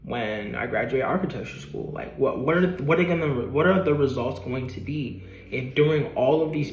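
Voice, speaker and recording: Male vlogger, late twenties, mid-pitched, earnest motivational rambling with casual cursing, echoey room sound. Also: a little hiss or hum. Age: 20s. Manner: earnest, rambling, swears casually, repeats himself. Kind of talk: motivational vlog talking to camera. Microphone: camera.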